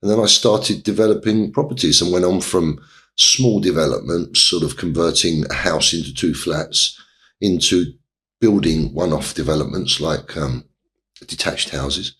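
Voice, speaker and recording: Deep-voiced man telling his life story. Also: a bit bright. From England. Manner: halting, many fillers and restarts. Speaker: interview guest, property developer. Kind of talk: reflective storytelling in an interview.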